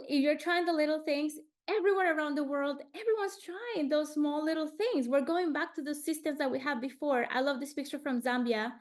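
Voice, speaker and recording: Woman, earnest webinar presenter, slightly high voice, video call audio. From Colombia. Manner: earnest, restarts words often.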